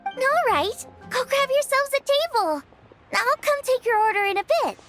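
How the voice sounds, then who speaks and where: A woman's voice: very high voice, sing-song, normal speed. A woman voicing a cheerful, bubbly young restaurant cook in a video game, with a perky, friendly, casual delivery. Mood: friendly, reassuring. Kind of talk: animated video game voice acting. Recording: music behind the voice.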